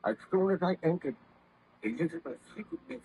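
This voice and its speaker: A man's voice: high-pitched, very animated, brisk, mellow, breathy edge, exaggerated voice, rough recording. A man playing a guilt-ridden, earnest scientist in theatrical 1930s radio style. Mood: weary narrating.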